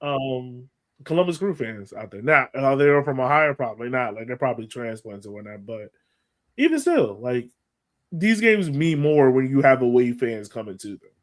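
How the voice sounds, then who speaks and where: An American man talking as a podcast host in casual soccer chat, like an animated fan full of exclamations. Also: mid-range voice, normal speed.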